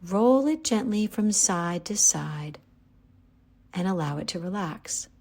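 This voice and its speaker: A woman guiding a yoga nidra relaxation, giving calm, gentle, unhurried instructions with pauses. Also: lowish voice. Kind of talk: guided relaxation meditation.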